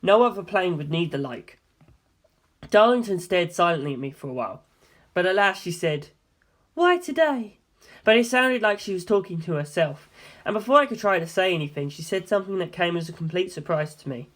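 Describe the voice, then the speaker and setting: A woman's voice: on the low side, lively intonation, a little fast. A woman reading scripted story narration in the first person. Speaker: audiobook reader.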